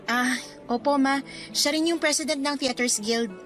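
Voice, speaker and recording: A teenage daughter with a high voice and a Filipino accent. She is dutiful and polite but sounds defensive and a little sulky, in radio drama style over music. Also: normal speed, fairly bright tone. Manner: polite, defensive, subdued sulking. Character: dutiful teenage schoolgirl daughter, quietly resentful.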